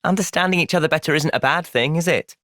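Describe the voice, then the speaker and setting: An actor playing a thoughtful, gentle but resolute young male soldier in a video game, with a British accent and a sincere, measured delivery. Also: a little high, dry recording, studio quality, lightly acted. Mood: calm, considering. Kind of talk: video game cutscene voice acting.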